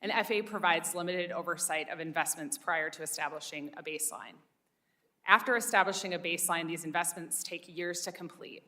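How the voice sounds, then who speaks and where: A woman delivers prepared testimony as an expert witness. Her voice is fairly low for a woman, with a bright, forceful edge and a formal, read-aloud delivery.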